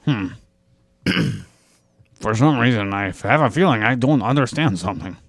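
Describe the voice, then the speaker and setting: A man's voice: medium pitch, lively intonation, normal speed, no room sound. A man co-hosting a podcast, explaining ideas at length in a rambling way with dry jokes in casual group chat. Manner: rambling, explanatory, dryly joking. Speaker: podcast co-host.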